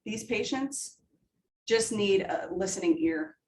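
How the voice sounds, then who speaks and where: A woman's voice: medium pitch, expressive, slow pace, a little mellow, a little hiss or hum. A woman nursing instructor with a big voice, debriefing a student in an emphatic, coaching way with rhetorical questions. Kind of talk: simulation debrief. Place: simulation room. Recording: echoey room, heard from a distance.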